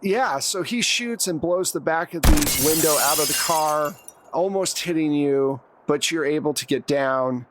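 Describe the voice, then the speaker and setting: A man's voice: on the high side, a little slow, noisy background. A man acting as game master, narrating action and calling for rolls in a conversational way. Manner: conversational, narrates action in plain second person. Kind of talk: running a tabletop roleplaying game.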